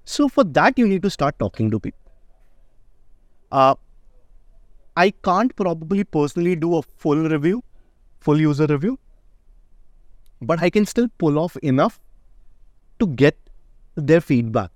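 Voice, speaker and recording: A man's voice: a little high, big pitch swings, measured pace, long pauses, dry recording. A man, a startup founder, answering interview questions in a casual, emphatic way and often asking "right?". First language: not Indonesian. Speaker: startup founder and CEO, guest.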